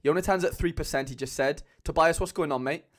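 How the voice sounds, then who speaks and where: A man's voice: fairly high pitch, fast talker. Chatty young man with a Cardiff accent. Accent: Cardiff Welsh. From Wales. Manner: chatty, loose, many fillers, calls viewers lads. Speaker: livestream host. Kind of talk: casual livestream chat. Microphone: mic on a boom arm.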